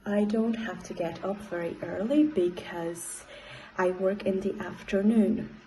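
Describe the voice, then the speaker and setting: Polish-born woman speaking english, slow and hesitant, soft mellow tone. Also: mid-range voice, a little hiss or hum, low-quality recording. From Poland. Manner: slow, with hesitant pauses and fillers. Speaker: English teacher. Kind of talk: school interview, answering read-out questions.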